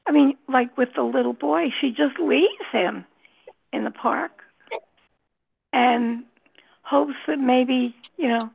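A woman in a book group sharing her thoughts on a novel, slow and hesitant with many pauses. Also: high-pitched, vocal fry, slightly rough. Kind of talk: casual discussion. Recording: tinny phone line.

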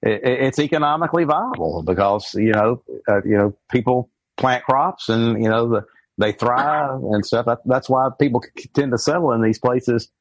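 A man in a book club discussion who speaks haltingly, repeating words and restarting his sentences. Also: medium pitch, no echo, poor audio. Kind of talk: casual group discussion.

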